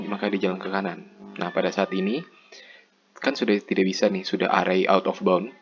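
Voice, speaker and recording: A young man from Indonesia giving an explanatory student slide presentation in an informal, step-by-step way. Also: fairly low pitch. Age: young adult. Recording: muffled lo-fi mic with background noise.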